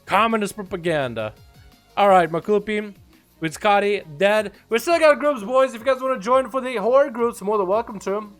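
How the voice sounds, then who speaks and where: A man's voice: high-pitched, moderate pace, fairly bright tone, soft background music. A young man from Russia with a slight Russian accent, streaming a game and chatting in a loose, joking way with his viewers. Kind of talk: casual livestream chat.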